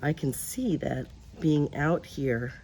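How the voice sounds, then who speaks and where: A woman hosting a crafting video outdoors. She talks in a quiet, subdued way, drifts into mutters and whispers as she works, and her voice is lower and deeper than usual. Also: slow pace, a little hiss or hum, rough recording. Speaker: host of a paper craft channel. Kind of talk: casual crafting chat while working. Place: outdoors, in a breeze.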